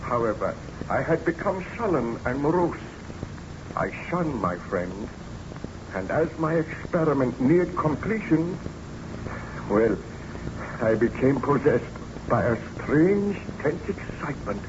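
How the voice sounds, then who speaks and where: An actor playing an obsessed, weary scientist in a theatrical, deliberate old-time radio drama style, on a muffled, noisy recording. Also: male voice, medium pitch, big pitch swings. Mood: brooding, intense. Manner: theatrical, deliberate, brooding narration.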